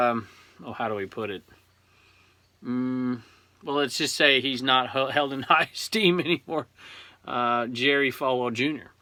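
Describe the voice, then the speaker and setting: A man's voice: medium pitch, pushed and bright. A man in his forties with a Southern US accent, hosting a casual reselling vlog in a chatty, rambling way with frequent chuckles. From Southern California. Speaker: eBay reseller and show host.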